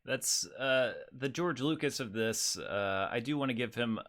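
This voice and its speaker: Mid-pitched man chatting as podcast co-host. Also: measured pace, a bit bright. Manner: conversational, jokey. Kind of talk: casual podcast discussion.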